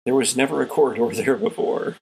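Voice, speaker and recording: A man with a gravelly, husky voice, narrating a tabletop role-playing game as game master in an unhurried, descriptive way. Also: medium pitch, darkish tone, a little hiss or hum, rough recording.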